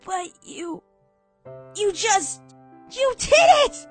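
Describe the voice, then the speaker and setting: A woman playing a brash, excitable young female pegasus pony in an animated, emphatic fan audio drama style. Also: extremely high pitch, drawn-out words, soft background music, full character voice. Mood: elated, excited. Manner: emphatic, emotional, impulsive.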